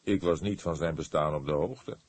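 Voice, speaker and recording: A man voicing a patient, seasoned senior police detective in an audiobook, speaking in a measured, earnest way. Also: a bass voice, darkish tone, dry recording. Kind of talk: audiobook character dialogue.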